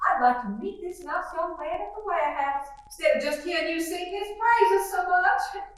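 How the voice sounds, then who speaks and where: A woman's voice: very high voice, expressive, moderate pace, a little hiss or hum. An actress playing a fussy, middle-aged faded belle mother, who chatters on in a gushing, rambling way. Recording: echoey roomy sound from far off.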